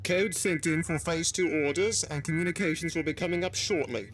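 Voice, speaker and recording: A man's voice: on the high side, a little fast, fairly bright tone, faint music behind, close to an everyday voice. A man playing a dutiful, literal-minded alien officer in a scripted audio drama, reporting in an earnest military manner.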